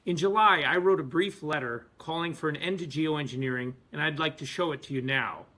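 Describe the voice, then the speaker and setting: Forceful, slightly husky man giving a speech. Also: a little high, fairly quick. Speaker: physician and activist. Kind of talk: prepared advocacy speech.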